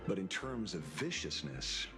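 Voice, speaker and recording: Low, swooping, sly old gunslinger. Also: male voice, very animated, unhurried. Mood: teasing. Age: older. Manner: drawling, teasing, chuckling, theatrical. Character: old wry drifter ranger, sly, theatrical, world-weary gunslinger. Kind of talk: video game voice acting. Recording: loud game music behind the voice.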